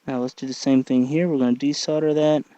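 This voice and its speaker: A man narrating a casual hands-on tutorial, thinking aloud in a halting way as he works. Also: mid-range voice, little pitch movement, warm tone, no room sound, low-quality recording. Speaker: tutorial host.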